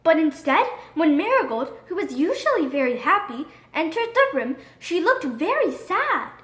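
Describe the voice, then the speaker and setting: Female narrator for children's storybook, high voice, very expressive and dramatic, recorded in an echoey room. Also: normal speed. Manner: expressive, dramatic read-aloud delivery. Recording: roomy echo.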